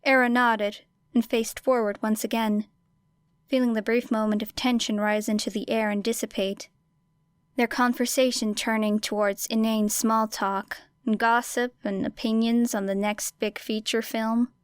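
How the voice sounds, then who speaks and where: A woman's voice: a little high, flat delivery, a little slow, clean tone, no echo, clean studio sound. A woman reading a story aloud as scripted audiobook narration. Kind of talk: scripted fan fiction narration.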